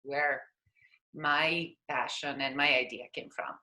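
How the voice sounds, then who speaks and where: A middle-aged woman whose voice sits very low but sounds bright and sharp. She is a podcast guest who speaks carefully, pausing on fillers as she finds her words. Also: steady tone. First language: Italian. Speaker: podcast guest, company founder. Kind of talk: casual interview chat.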